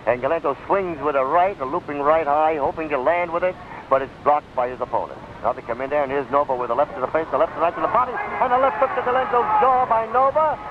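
A man's voice: a little high, quick pace. An American man giving live blow-by-blow boxing commentary in the style of 1930s radio. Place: ringside at an outdoor stadium. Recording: tinny, noisy 1939 radio broadcast recording.

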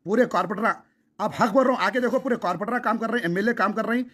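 A man with a Hyderabadi accent speaks in a high voice at a rapid pace. He is emphatic and insistent, repeating phrases as he persuades someone over a recorded phone call. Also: fairly bright tone. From Hyderabad, India. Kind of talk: phone conversation, impassioned persuading.

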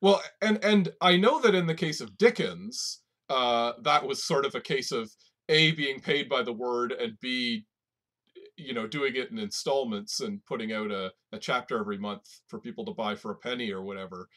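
A man with a medium-pitched voice chats as a podcast co-host. He talks about books in a casual, informal way, with loose phrasing and conversational tags. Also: moderate pace, fairly bright tone. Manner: informal, loose phrasing with "like", "right?" and "you know". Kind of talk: casual conversational book discussion.

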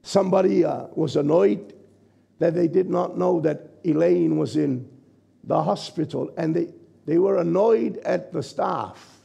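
A man in his sixties, a pastor from New Zealand, preaching a sermon from a church stage with a croaky, gravelly voice and a conversational manner. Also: on the high side, measured pace, warm tone, lo-fi sound. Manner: conversational preaching, checks in with the congregation. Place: church stage, large indoor hall. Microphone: stage mic at a lectern, far camera.

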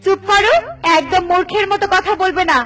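A woman's voice: very high-pitched, fairly even intonation, a little fast, recorded from a distance, voice pushed up. A woman voicing a bossy, hot-tempered bird wife in a children's cartoon, nagging shrilly and indignantly. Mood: angry, threatening. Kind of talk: Bengali children's cartoon dialogue.

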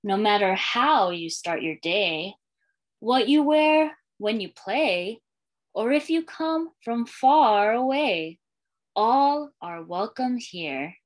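A woman's voice: fairly high pitch, expressive, slow pace. A woman in her thirties, a school librarian, reading a picture book aloud to young children in a sing-song storytelling way. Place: small indoor room with bookshelves and curtain.

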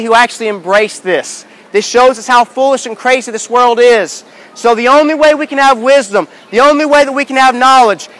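A man in his thirties preaching outdoors in a busy city square, shouting with emphatic, repetitive rhetorical questions. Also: very high-pitched, rough recording. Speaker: street preacher. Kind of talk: open-air sermon. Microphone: far handheld camera, no mic.

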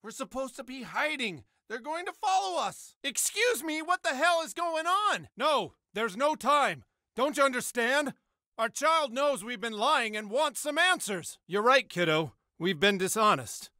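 An American man voicing a secretive, frazzled suburban dad in an animated comedy video game, prone to foul-mouthed rages. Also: sits high, no room sound, clean studio sound, exaggerated voice. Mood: frantic, bitter, then earnest, confiding.

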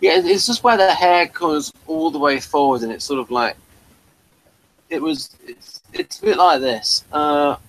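A man's voice: a little high, measured pace, fairly bright tone, low-quality recording. A man hosting a casual review chat, explaining things in a rambling way.

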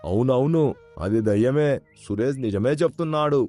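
A man playing a gullible, easily frightened villager in an animated moral story. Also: medium pitch, moderate pace, soft background music, lightly acted. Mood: insistent.

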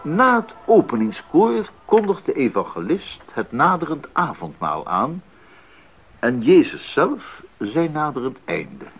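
Slow, expressive Baroque composer, husky voice. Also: male voice, fairly high pitch, exaggerated voice. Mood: thoughtful, visionary. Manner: deliberate, expressive, with thoughtful pauses. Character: earnest, enthusiastic Baroque composer explaining his plans. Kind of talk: 1980s radio drama acting. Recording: muffled 1980s radio recording.

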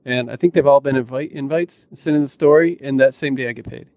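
A man's voice: mid-range voice, a little fast, a little mellow. A man chatting casually and informally as a podcast co-host, close to a mic in a small room. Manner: informal, conversational. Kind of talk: casual chat. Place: small room at home, indoors. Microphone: close studio mic on boom arm.

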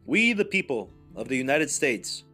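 A man giving a formal, scripted reading as a podcast narrator, in a measured, deliberate manner. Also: medium pitch, sing-song, sharp tone, slightly rough. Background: music.

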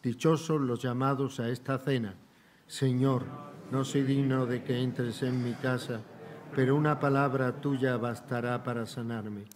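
An older man leading Mass as a priest, reciting liturgical prayers in a measured, solemn way in a large church. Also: medium pitch, fairly even intonation, a little hiss or hum. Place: large church sanctuary, indoors.

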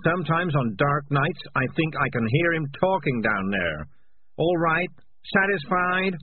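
A man voicing a selfish, guilt-ridden talking tomcat in a storybook, raised and defensive with drawn-out words, recorded with a phone-like narrow sound. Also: medium pitch, expressive, slight background noise, voice pushed up, close to an everyday voice.

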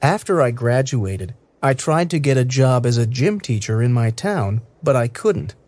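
Brief: male reader for learner audio, careful diction, lively intonation, dry booth sound. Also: medium pitch, moderate pace, no echo. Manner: clear, careful, evenly paced delivery. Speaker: English listening practice reader. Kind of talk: slow scripted reading for learners.

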